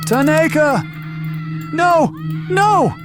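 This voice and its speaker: A man's voice: very high-pitched, big pitch swings, extremely slow, shouting, loud music behind the voice, heavily acted. A man playing a terrified survivor under monster attack in an audio drama, pleading and crying out in gasping exclamations. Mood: horrified shock.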